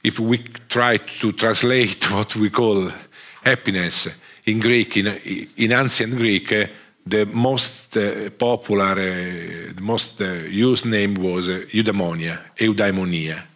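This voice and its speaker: Deep, bright-toned Italian man lecturing. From Italy. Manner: halting, many fillers and restarts. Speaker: guest lecturer. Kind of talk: academic lecture. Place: theatre stage. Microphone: headset.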